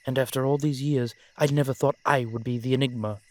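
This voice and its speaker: A man's voice: mid-range voice, fairly even intonation, darkish tone, lightly acted. Man acting a brooding, formal male vampire. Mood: frustrated, indignant. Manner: formal, measured, dramatic phrasing with pauses. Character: brooding, protective male vampire, old-fashioned and frustrated. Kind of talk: scripted audio roleplay acting addressed to the listener.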